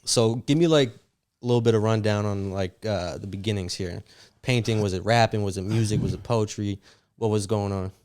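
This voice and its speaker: A man's voice: lowish voice, moderate pace, a little mellow. A man hosting a podcast, asking questions in an easygoing, loose conversational way. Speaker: podcast host. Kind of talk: casual interview chat.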